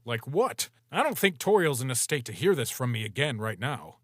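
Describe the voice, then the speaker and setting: Guy doing a tired chill skeleton dude, low-key slangy talk, dry sound. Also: big pitch swings, moderate pace, dry recording. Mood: weary. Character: weary laid-back male skeleton.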